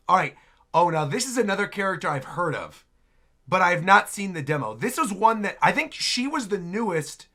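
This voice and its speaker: A host giving casual, excitable reaction commentary on game trailers. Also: medium pitch. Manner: excitable, exclamatory.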